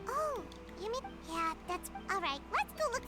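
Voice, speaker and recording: An extremely high, squeaky little fairy sidekick. The voice is childlike, chirpy and eager, in anime game style, over loud music. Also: female voice, sing-song, moderate pace. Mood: curious, bubbly. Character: tiny floating childlike fairy companion, squeaky, eager, speaks in third person. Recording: game audio with background music.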